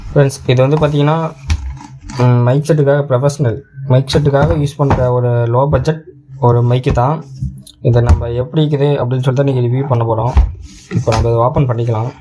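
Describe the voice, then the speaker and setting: A man's voice: medium pitch, fairly even intonation, quick pace, music in the background, poor audio. A man giving a casual, colloquial product review, explaining things repetitively to viewers as a tech channel host.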